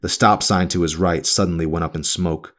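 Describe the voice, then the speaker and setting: A man reading a novel aloud as its author, in a brooding, dramatic narration style with deliberate phrasing. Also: deep voice, steady tone, a bit bright.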